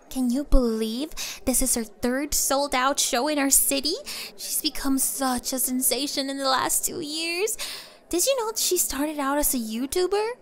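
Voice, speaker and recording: Woman acting as a high-pitched, gushing young superfan. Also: a bit bright. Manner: gushing, breathless enthusiasm. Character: excitable young female superfan, chatty and gushing, at a concert. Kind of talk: ASMR roleplay acting, speaking to the listener.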